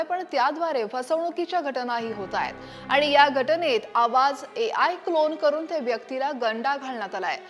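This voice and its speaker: A woman's voice: sits high, fairly bright tone. A woman reading a scripted news explainer as a presenter. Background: background music.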